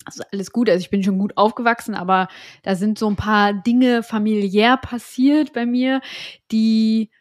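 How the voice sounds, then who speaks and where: A woman's voice: a little high, steady tone, normal speed, no room sound, clean studio sound. A woman from Berlin, a podcast guest, talking in a hesitant, reflective way with many hedges. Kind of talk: casual interview conversation. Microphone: remote call.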